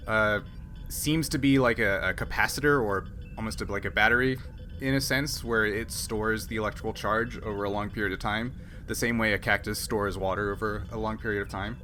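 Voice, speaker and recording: Bright-voiced man running a tabletop game. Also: fairly low pitch, fairly even intonation, slight background noise. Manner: halting, thinking aloud while explaining rules. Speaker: game master. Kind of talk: narrating a tabletop roleplaying game.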